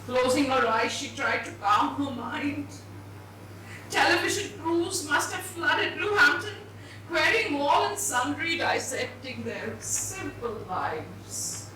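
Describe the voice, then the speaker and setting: A woman giving a deliberate, dramatised reading of literary fiction. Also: sits high, expressive. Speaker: reader at a book event. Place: indoor event venue before an audience. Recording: very echoey, distant, faintly noisy hall.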